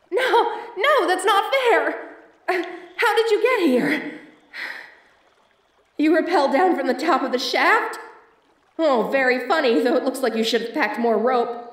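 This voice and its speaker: Woman acting a high-voiced theatrical femme fatale adventuress. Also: echoey room, recorded from a distance. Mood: startled, indignant. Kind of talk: audio roleplay acting, addressed to the listener.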